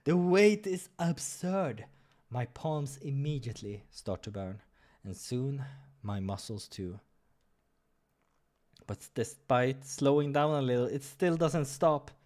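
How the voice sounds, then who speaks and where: A man's voice: medium pitch, expressive, slow, a little mellow. A man reading a visual novel aloud as a let's player, dramatic with casual asides and the odd stumble, over music. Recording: music behind the voice.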